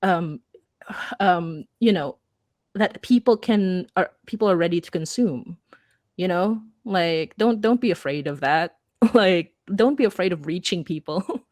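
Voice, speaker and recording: A woman's voice: lowish voice, measured pace, darkish tone, no room sound, studio quality. A woman talking casually on a panel in an emphatic, giggly, stop-start way. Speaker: panelist, audio drama and comics creator. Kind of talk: casual, animated panel talk.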